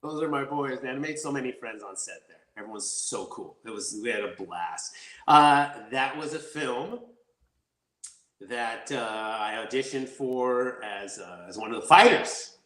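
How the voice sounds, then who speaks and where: A man telling stories as a guest actor in a casual interview, rambling and energetic with many restarts. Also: mid-range voice, unhurried. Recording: roomy echo.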